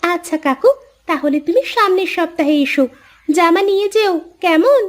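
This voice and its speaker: A woman's voice: extremely high pitch, expressive, normal speed. A woman voicing a young village farmer's daughter in a cartoon, earnest, caring and sweetly persuasive. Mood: confident. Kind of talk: Bengali moral-story cartoon voice acting.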